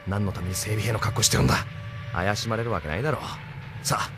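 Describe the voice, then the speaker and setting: An actor playing a cocky, hot-tempered young rebel pilot with rough, boastful speech in a Japanese audio drama. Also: male voice, on the low side, quick pace, background noise, close to an everyday voice.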